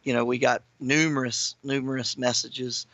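A hoarse man speaks as a guest on a talk radio show, casual and full of fillers. His voice is gravelly and bright. The audio sounds dry and dull, like a broadcast. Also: mid-range voice, little pitch movement, normal speed, dry recording. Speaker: guest, ministry founder. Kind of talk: radio interview.

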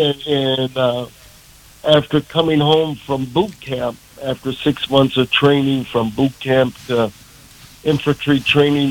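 A man's voice: mid-range voice, rough recording. An American man in his sixties or older, from Michigan, giving a personal testimony conversationally as a radio interview guest, plain-spoken and earnest. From Michigan, United States. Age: 60s or older.

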